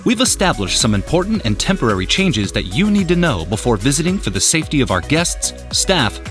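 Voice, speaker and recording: A man's voice: mid-range voice, very animated, brisk, a bit bright, faint music behind. A man reading a scripted announcement as a spokesperson for an aquarium.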